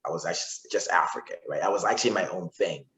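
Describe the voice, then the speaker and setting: Deep-voiced Zimbabwe-raised man, forties, casual rambling guest in an echoey room. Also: fast, a bit bright. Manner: rambling with frequent restarts and fillers. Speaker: podcast guest. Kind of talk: casual interview conversation. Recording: roomy echo.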